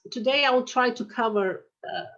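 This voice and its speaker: A woman's voice: on the high side, normal speed, a bit bright, dull audio. A woman from Portugal in her forties with a Portuguese accent, giving a lecture on oral surgery with hesitant phrasing and frequent fillers. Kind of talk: online lecture presentation.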